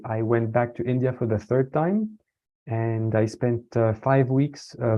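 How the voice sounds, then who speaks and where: A man from France giving a casual online talk, relaxed and hesitant, with many fillers and restarts. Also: medium pitch. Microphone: computer mic on a video call. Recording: dull lo-fi video call audio.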